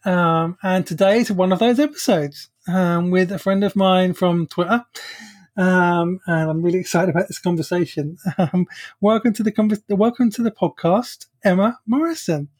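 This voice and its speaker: A man's voice: high-pitched, moderate pace. A man hosting a podcast, speaking casually and hesitantly with many "um"s. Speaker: podcast host. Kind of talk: casual conversation.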